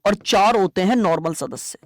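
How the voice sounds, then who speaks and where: A teacher delivers an exam-prep lecture in a conversational, explanatory way at a medium pitch. Questions are posed and then answered, with key points repeated for understanding. The sound is dry, with very little room echo. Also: moderate pace. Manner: asks rhetorical questions and answers them.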